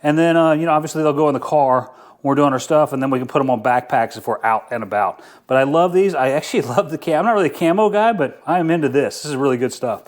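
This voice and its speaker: A man's voice: a little high, quick pace, few pauses. A middle-aged man hosting a casual outdoor gear review in an informal, enthusiastic way. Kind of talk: casual product review vlog.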